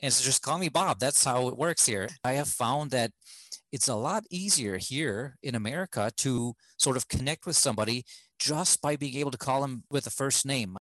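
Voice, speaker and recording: A man's voice: medium pitch, moderate pace, fairly bright tone, no room sound. Chatty male interviewer. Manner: anecdotal, chatty. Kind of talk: conversational interview.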